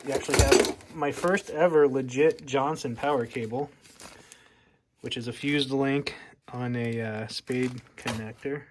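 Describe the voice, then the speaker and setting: A man's voice: medium pitch, steady tone, a little slow. An American man giving a casual, hands-on demonstration as a hobbyist, recorded at home with a furnace running. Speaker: hobbyist presenter of a radio overview. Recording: loud furnace noise behind.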